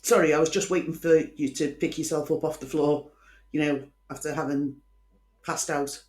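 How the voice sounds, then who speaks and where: A woman's voice: deep voice, fairly even intonation, normal speed, darkish tone, reverberant. A woman from Liverpool with a Scouse accent hosts a chatty true-crime show, telling the story with opinionated, wry asides. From Liverpool, England. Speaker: host.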